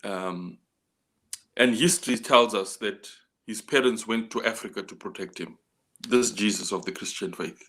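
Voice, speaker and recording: A man speaking in a measured discussion, deliberate and halting, often repeating a word before going on. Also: lowish voice, fairly bright tone.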